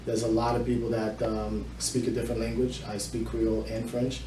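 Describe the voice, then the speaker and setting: A man's voice: medium pitch, level tone, brisk. A middle-aged man speaking as a mortgage loan officer, explaining his work as an interview guest. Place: indoor room with small audience. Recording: echoey room, distant mic, faint noise.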